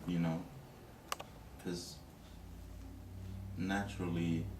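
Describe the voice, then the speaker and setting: A man's voice: low voice, little pitch movement, very slow, roomy sound, recorded from a distance, background noise. A man from Tonga, an interviewee, talking reflectively in a casual way, with many "you know" fillers.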